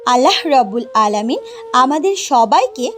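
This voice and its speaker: A woman's voice: sits high, big pitch swings, unhurried, a bit bright. A woman narrating a scripted fairy tale as a storyteller, with music behind her.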